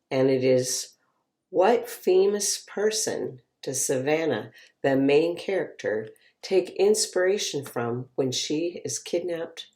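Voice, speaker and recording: A woman's voice: low voice, darkish tone. A woman author introducing herself and presenting a trivia question. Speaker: mystery and thriller author.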